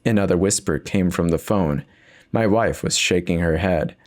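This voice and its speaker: A man's voice: deep voice, normal speed, darkish tone. A man giving an intense, theatrical first-person reading of a horror story as its narrator.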